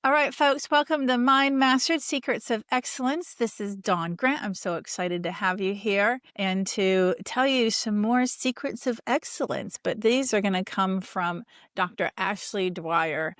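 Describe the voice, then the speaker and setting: A woman's voice: medium pitch, a little slow, a bit bright, no room sound, clean studio sound. A woman hosting a podcast interview, chatting enthusiastically and rambling with restarts. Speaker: podcast host. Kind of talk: casual interview chat.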